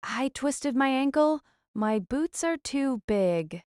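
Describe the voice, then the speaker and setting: A woman's voice: high voice, expressive, takes it slowly, darkish tone, smooth, no echo, clean studio sound. A woman playing a weary, earnest runaway fugitive in an audio drama.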